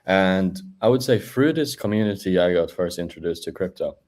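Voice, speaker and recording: A man, chatting as a guest over a video call in a halting way with many fillers. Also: low voice, lively intonation, a little mellow. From Norway. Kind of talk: casual interview chat.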